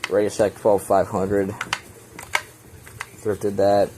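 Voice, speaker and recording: A man's voice: fairly low pitch, completely flat delivery, takes it slowly, noisy background. A young man casually showing his radio collection in his bedroom, rambling with many ums, filmed point-of-view on a handheld camera. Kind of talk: casual show-and-tell.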